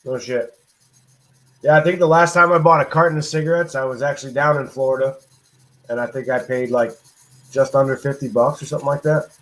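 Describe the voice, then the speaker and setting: A man in his late thirties chatting casually from his living room, laid-back and quick to laugh. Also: mid-range voice, fairly even intonation, fairly bright tone, poor audio. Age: 30s. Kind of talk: casual chat.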